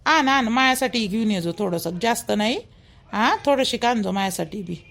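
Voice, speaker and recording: High, creaky village mother-in-law played by a woman. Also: lively intonation, moderate pace, fairly bright tone. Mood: easygoing. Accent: Vidarbha Marathi. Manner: insistent, repetitive, homely. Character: caring, insistent, fussy village mother-in-law. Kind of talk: Marathi village cartoon dialogue.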